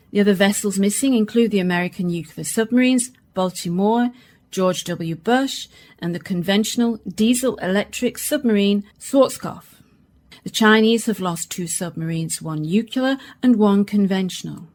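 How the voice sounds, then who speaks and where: A woman playing a news reporter and newsreader in a radio play, with a clear broadcast delivery. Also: mid-range voice, darkish tone, natural voice.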